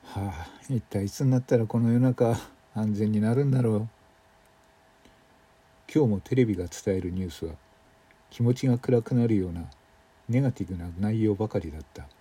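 A man's voice: lowish voice, mellow, slightly rough. A man playing a retired older man in a radio drama, reflective and muttering in a colloquial way. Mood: weary grumbling. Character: retired old man, weary and grumbling, reflective.

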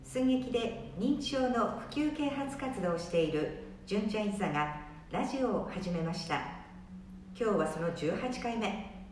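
A woman's voice: mid-range voice, lively intonation, measured pace, a little mellow. A woman hosting a radio show, reading a scripted introduction and sign-off. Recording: very echoey room, distant mic, faint noise.

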